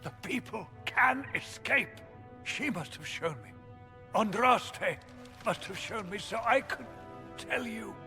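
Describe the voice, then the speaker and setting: An actor playing a weakened, devout chancellor in a video game, earnest and awed, speaking in a halting, strained way. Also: male voice, high-pitched, lively intonation, music in the background, heavily acted. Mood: urgent revelation. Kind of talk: dramatic video game acting.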